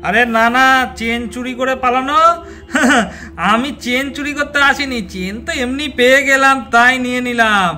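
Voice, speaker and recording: Woman putting on a sharp, high-pitched wailing male thief. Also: moderate pace, faint music behind. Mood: blustering, threatening. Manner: threatening, loud wailing and pleading. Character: male thief, blustering bully turned whining pleader. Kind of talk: doll-play storytelling.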